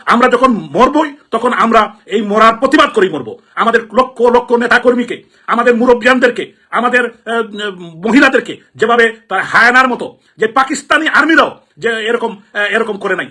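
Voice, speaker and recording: A man with a high, sharp-edged voice and a Bangladeshi accent delivers an impassioned political address. He speaks forcefully and indignantly, hammering his points through repetition like an elected politician appealing to the public. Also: animated, normal speed. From Sylhet, Bangladesh.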